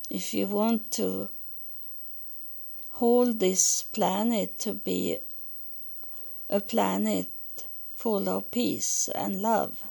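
A woman from Sweden with a Swedish accent, speaking English as a second language in a halting spiritual podcast monologue, searching for words. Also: mid-range voice, gravelly, a little mellow. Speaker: podcast host relaying spiritual messages.

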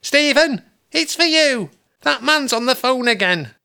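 A disapproving Northern English mother of middle age, acted by a male audiobook reader. The voice is pushed up high and scolds in a nagging, impatient way. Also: expressive, unhurried, vocal fry, clean tone, heavily acted. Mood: shouting, irritated. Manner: scolding, shouting.

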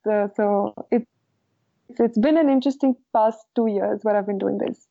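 A woman's voice: medium pitch, normal speed, vocal fry. A woman from Kashmir, answering interview questions in an articulate, reflective way with frequent fillers. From Kashmir, India. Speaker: newsletter co-founder, guest. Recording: dull lo-fi sound.

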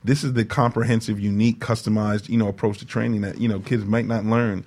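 A man co-hosts a casual radio interview. His voice sits fairly low. He talks conversationally and affirms what guests say with encouragement. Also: little pitch movement, quick pace, darkish tone. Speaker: co-host.

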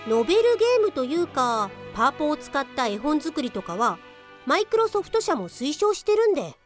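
Very high-pitched sharp-tongued lady cop. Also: very animated, brisk. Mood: lecturing. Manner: blunt, lecturing, rough-spoken retorts. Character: sharp-tongued, know-it-all female office software cop. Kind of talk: comedic Japanese radio drama acting. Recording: voice over clear background music.

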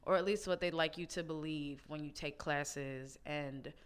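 Woman, podcast host, low pitch, crisp clear voice, relaxed and swears a lot. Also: normal speed. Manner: laid-back, swears casually, laughs often. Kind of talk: casual chat.

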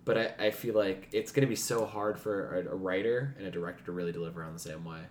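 A man's voice: low voice, fast. A man co-hosting a podcast, talking through his opinions in a casual, reflective discussion with some background noise. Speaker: podcast co-host.

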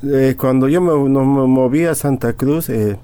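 A middle-aged man with a Bolivian accent giving personal testimony as a guest, haltingly, often adding little tag questions. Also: mid-range voice, mellow, smooth. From Sucre, Bolivia. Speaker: interview guest.

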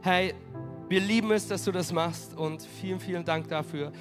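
A man preaching as pastor, energetic and rallying his congregation, with a cold that makes him hoarse. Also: sits high, moderate pace, over music. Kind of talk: sermon. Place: church hall.

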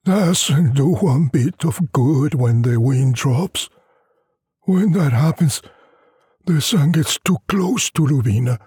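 A man reading a story, voicing stubborn, fatalistic poor villagers in a plaintive, slow, resigned manner. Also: on the high side, mellow, husky, no echo, clean studio sound, pitched above the performer's own voice, full character voice.

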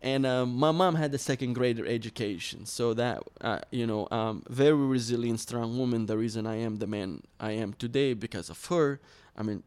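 A man's voice: medium pitch, normal speed, few pauses, darkish tone. Earnest man telling his story. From Iraq. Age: 30s. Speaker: interview guest. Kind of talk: personal storytelling in an interview.

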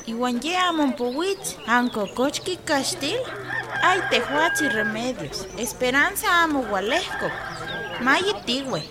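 An actress in a radio drama playing Clara, a calm village woman. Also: high voice, slow pace. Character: Clara, a patient village friend. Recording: crowd noise behind.

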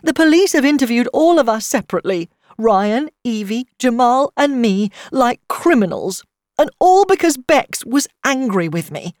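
Woman acting a tearful, high-voiced distraught foster mother. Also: big pitch swings, drawn-out words, hardly any pauses, darkish tone, dry recording, studio quality, heavily acted. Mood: distraught, indignant. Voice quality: trembling, breaking with sobs. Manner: emotional, indignant outbursts.

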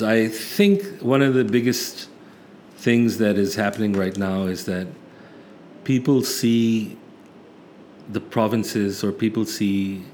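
A man's voice: on the low side, darkish tone. A man in a casual political discussion, earnest and thinking aloud, often restarting his sentences. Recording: faint background noise.

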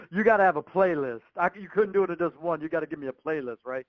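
A man with a fairly high voice hosts a radio interview in a casual, conversational style. The sound is dry and somewhat dull, as on a conference call. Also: animated, quick pace, no echo. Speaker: radio show host, interviewer. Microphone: phone or call line.

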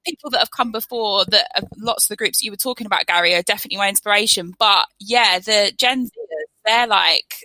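A young woman co-hosting a podcast, talking in a lively, casual way with many fillers. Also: mid-range voice, quick pace, hardly any pauses, no room sound, rough recording. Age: young adult. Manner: animated, fillers of like. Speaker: podcast co-host. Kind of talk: casual conversation.

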